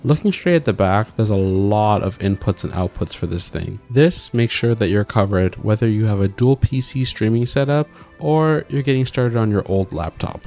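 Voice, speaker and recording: A man's voice: lowish voice, lively intonation, normal speed, hardly any pauses, darkish tone. Man narrating a tech review over music. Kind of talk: scripted product review voice-over. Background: background music.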